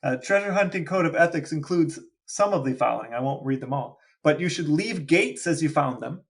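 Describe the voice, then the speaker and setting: A man's voice: fairly high pitch, lively intonation, fairly quick. A man co-hosting a podcast with his brother, reading out lists and asking playful questions in casual chat. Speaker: podcast co-host.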